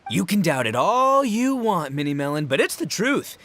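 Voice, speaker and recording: A man's voice: sits high, big pitch swings, moderate pace, fairly bright tone, heavily acted. A man voicing a boisterous, boastful young oni gang boss and goofy rocker in a video game, bombastic and slangy. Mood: swaggering. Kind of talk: animated video game voice acting.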